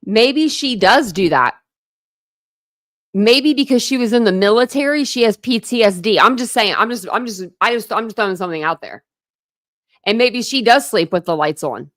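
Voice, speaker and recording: Blunt woman doing casual reaction commentary, kinda high voice, dry close sound, scornful. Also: lively intonation, moderate pace, fairly bright tone, no echo. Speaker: YouTube commentator reviewing another creator's livestream. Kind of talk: casual reaction commentary over a played video.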